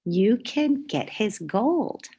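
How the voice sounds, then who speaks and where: A woman voicing a mysterious, playful man in a children's storybook, telling secrets with hushed, dramatic delivery. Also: medium pitch, very animated, extremely slow, husky, dull audio, pitched above the performer's own voice, full character voice. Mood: secretive, instructing.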